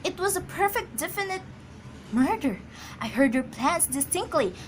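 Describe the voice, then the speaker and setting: A woman's voice: high-pitched, very animated, moderate pace, background noise. A woman acting an anxious, imperious, easily flustered wife in an amateur radio drama, agitated and stammering.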